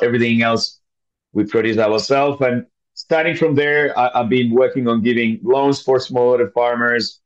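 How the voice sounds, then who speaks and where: Male interview guest, Finnish accent, medium pitch, conversational, slightly muffled lo-fi sound. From Finland. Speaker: podcast guest, scientist.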